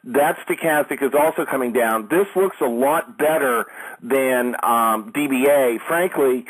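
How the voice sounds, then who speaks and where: A man speaking off the cuff as a market analyst hosting a call, in a measured, matter-of-fact advisory way. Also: medium pitch, fairly bright tone, breathy edge, poor audio. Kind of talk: unscripted market commentary.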